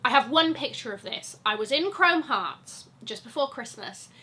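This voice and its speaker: Young female vlogger, bright high voice, bunged up with a cold, chatty and gushing. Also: lively intonation, moderate pace. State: congested from a cold. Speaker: luxury fashion vlogger. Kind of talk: chatty sponsored wishlist vlog.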